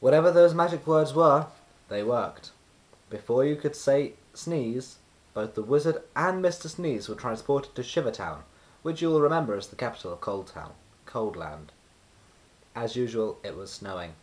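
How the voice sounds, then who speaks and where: Male narrator for a children's storybook: mid-pitched, highly animated, deliberate, expressive read. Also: poor audio. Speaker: children's book reader.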